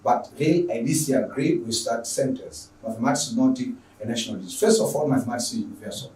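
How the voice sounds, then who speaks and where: A man's voice: mid-range voice, brisk, darkish tone. Echoey, distant Tanzanian male teacher. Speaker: online secondary school mathematics teacher. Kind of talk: spoken lesson introduction to viewers. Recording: echoey room, distant microphone.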